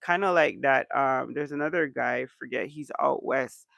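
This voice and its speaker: A man's voice: medium pitch, moderate pace, creaky, no echo. A man from Canada hosting a casual livestream chat, congested from allergies and rambling along with lots of ums. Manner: relaxed rambling with many ums. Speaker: channel host.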